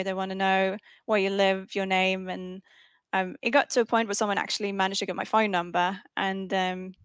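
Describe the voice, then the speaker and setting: A woman's voice: medium pitch, dry recording. A woman streamer speaking on a panel, hesitant, with many ums. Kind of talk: casual panel discussion.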